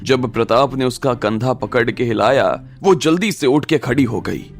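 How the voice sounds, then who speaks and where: A man's voice: medium pitch, moderate pace, vocal fry, soft background music. A man narrating a scripted audio drama story.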